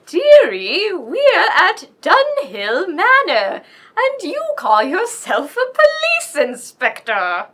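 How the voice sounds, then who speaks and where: A saucy servant acted by a woman in a radio whodunit. She chides slowly in a high voice, sounding distant from the microphone. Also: wildly swooping pitch, a bit bright, full character voice. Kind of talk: old-time comic radio mystery acting.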